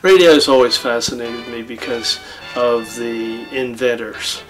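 Older male expert, documentary interview, middle register, soft music underneath. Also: medium pitch, steady tone, measured pace, rough recording. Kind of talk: seated interview recollection. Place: indoors, book-lined room.

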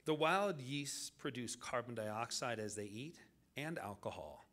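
A man delivering a scripted public lecture as the lecturer, in deliberate, measured phrases with dramatic pauses. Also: mid-range voice, animated, fairly bright tone.

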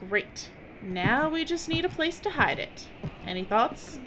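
An actress playing a bossy, impatient, sharp-tongued filmmaker in an audio drama. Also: female voice, sits high, sing-song, unhurried, exaggerated voice. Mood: brisk. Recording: dull, noisy audio drama recording.

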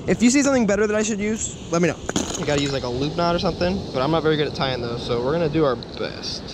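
Excitable man fishing in loud surf. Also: a little high, rapid-fire. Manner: chatty, self-mocking, excitable when a fish seems near. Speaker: fishing vlogger. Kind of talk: self-deprecating casual vlog narration. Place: beach surf, outdoors. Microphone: action camera. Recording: windy outdoor beach audio with surf noise. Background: wind and crashing waves.

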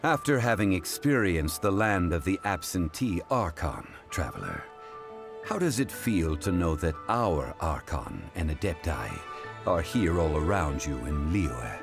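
A dignified, learned gentleman from a fantasy game. His low voice is formal and solemn, with measured phrasing and plenty of melodic movement. Music plays clearly behind him. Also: big pitch swings, exaggerated voice. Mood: calm, dignified. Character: dignified, learned young-looking male funeral parlor consultant. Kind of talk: video game character dialogue.

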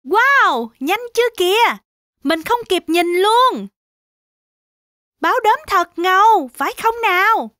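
A woman's voice: extremely high pitch, very animated, slow, background noise. A woman narrating a children's animation in an exclamatory storytelling style, talking directly to young viewers. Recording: music behind the voice. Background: children's music.

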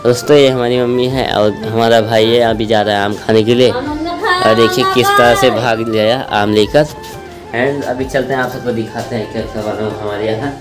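A man's voice: medium pitch, expressive, moderate pace, loud music behind the voice. Young man vlogging from village fields. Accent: Uttar Pradesh Hindi. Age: young adult. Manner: chatty, repeatedly addresses viewers as friends. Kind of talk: casual vlog narration. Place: rural village, indoors and outdoors in fields. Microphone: phone camera at arm's length.

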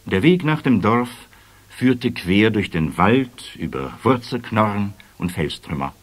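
A man narrating a scripted children's story as the storyteller of an audio play. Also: medium pitch.

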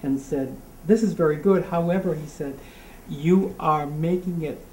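A man speaks up at a book discussion, stopping and restarting as he works through his point. His voice is soft and mellow and heard from across a roomy hall. Light noise runs underneath. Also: a little high. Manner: halting, many restarts and fillers. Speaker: audience member. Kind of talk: casual discussion. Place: community meeting room. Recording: echoey room from a distance, faint noise.

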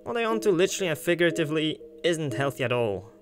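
A man giving casual, playful gameplay commentary as a let's player, with halting reactions. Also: fairly high pitch, big pitch swings. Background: music.

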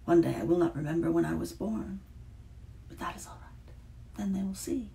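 A reader voicing a weary, fading female kappa in a storybook reading, speaking in a hushed, whispery way. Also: mid-range voice, moderate pace, creaky, pitched above the performer's own voice, natural voice.

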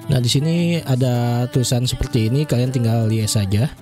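A man's voice: medium pitch, moderate pace, darkish tone. Brief: young male tutorial host, friendly and chatty, studio mic at home, music bed under him. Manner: chatty, friendly, keeps addressing viewers as friends. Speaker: YouTube tech tutorial host. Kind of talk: casual step-by-step software tutorial. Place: small home room lit with blue LED lights. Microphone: large studio mic on a boom arm.

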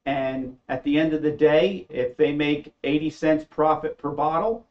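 Guy talking through slides, plain and halting, a bit muffled. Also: on the high side, steady tone. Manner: plain, halting, with fillers and restarts. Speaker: company presenter. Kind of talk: explaining a slide presentation.

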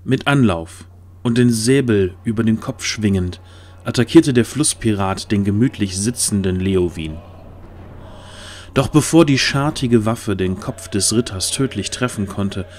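Low-voiced male fantasy narrator. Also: animated, a little slow, a little mellow, a little hiss or hum. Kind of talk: scripted dramatic fantasy narration.

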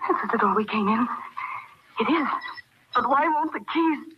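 A high-pitched, panicked woman fugitive played by an actress mutters in breathless, broken phrases. It is old radio horror drama, muffled under heavy noise and hiss. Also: animated, moderate pace, lightly acted. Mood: panicked, dazed. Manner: breathless fragmented muttering, rising to cries. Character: panicked, confused woman murderer fleeing in darkness. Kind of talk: 1940s radio horror acting.

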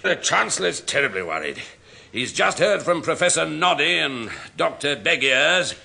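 An actor playing an exasperated, blustering senior English civil servant in a vintage radio sitcom. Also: male voice, on the high side, very animated, normal speed, vocal fry, pushed and bright, exaggerated voice. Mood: worried. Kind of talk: 1960s-70s BBC radio sitcom acting.

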